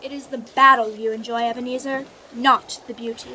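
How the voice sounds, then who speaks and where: A woman's voice: on the high side, little pitch movement, brisk, bright, forceful tone, a little hiss or hum, poor audio. A woman playing a sorrowful but resolute young woman in a radio play, speaking earnestly and formally. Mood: troubled. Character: sorrowful, resolute young woman leaving her greedy fiance. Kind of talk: live-audience radio play acting.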